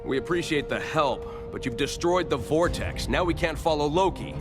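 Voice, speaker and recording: A man's voice: on the high side, expressive, moderate pace, close to an everyday voice. A man voicing an earnest, cheeky young superhero in a video game cutscene. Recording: loud music behind the voice.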